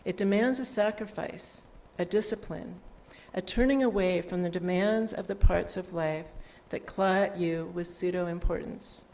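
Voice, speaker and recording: A woman leading a church service, reading a prepared reflection in a measured way. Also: fairly low pitch, a little mellow, a little hiss or hum, rough recording. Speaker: service leader. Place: church sanctuary.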